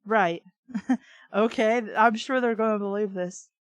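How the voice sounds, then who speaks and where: A woman's voice: mid-range voice, a little slow, no echo, muffled recording. A woman reading fiction aloud and breaking in with sarcastic, irreverent, exasperated commentary. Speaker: book review host.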